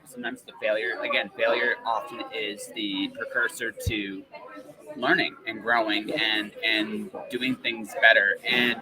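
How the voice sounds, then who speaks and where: A man's voice: mid-range voice, low-quality recording. Rambling male podcast host, crowd noise behind. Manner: rambling, self-interrupting, frequent tags like "right?". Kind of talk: casual conversational interview.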